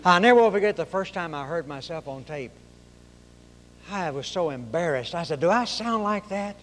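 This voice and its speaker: High nasal preacher. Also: male voice, expressive, normal speed, a bit bright, long reverb tail, a little hiss or hum. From Oklahoma, raised in Arkansas. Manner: folksy storytelling, acts out voices. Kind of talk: sermon.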